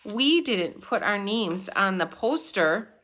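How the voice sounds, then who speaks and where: A woman's voice: medium pitch, big pitch swings, takes it slowly, pushed and bright, full character voice. A woman voicing a fair-minded, earnest schoolboy in dialogue from a children's storybook. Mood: dismayed. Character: fair-minded schoolboy narrator arguing with classmates.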